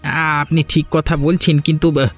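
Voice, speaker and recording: A man's voice: a little high, little pitch movement, measured pace, faint music behind, close to an everyday voice. A man voicing a scheming, cowardly landlord's servant in a cartoon drama, argumentative and insistent. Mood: doubtful. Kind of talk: Bengali cartoon drama dialogue.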